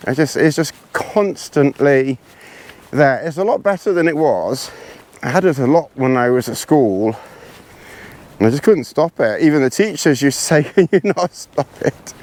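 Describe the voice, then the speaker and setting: A hoarse, congested man chatting casually to camera while hiking outdoors in the hills, rambling and poking fun at himself. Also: on the high side, animated, normal speed, darkish tone. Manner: rambling, self-deprecating, chatty. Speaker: vlogger.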